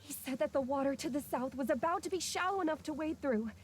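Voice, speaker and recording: A woman's voice: high voice, quick pace, slight background noise, natural voice. A woman playing a polite, worried travelling companion in a video game. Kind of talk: video game character acting.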